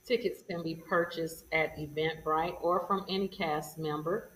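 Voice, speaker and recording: A woman's voice: low-pitched, steady tone, unhurried, fairly bright tone. A woman announcing event details as a guest on a show, in an orderly informational way. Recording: echoey distant mic, faint background noise.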